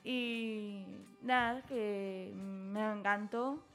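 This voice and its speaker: A woman's voice: medium pitch, fairly even intonation, very slow, soft background music. A woman in her twenties from León, a first-time radio guest who answers questions in short, shy replies. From León, Spain. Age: 20s.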